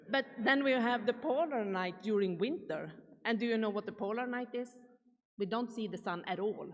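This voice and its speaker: A woman from Sweden with a Swedish accent, giving a chatty conference keynote and asking the audience questions. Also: medium pitch. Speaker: keynote speaker. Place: large conference hall. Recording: reverberant hall.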